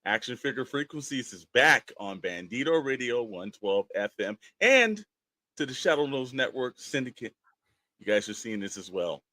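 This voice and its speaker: A man's voice: mid-range voice, very animated, normal speed, pushed and bright, no echo. A man co-hosting a podcast with casual banter and easygoing ad reads. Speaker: podcast co-host.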